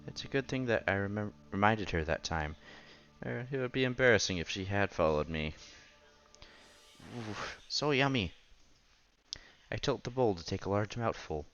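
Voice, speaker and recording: Low-voiced man narrating a game. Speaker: streamer reading a visual novel aloud. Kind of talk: read-aloud game narration with casual asides. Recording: slightly dull, faint game music behind. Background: quiet game music.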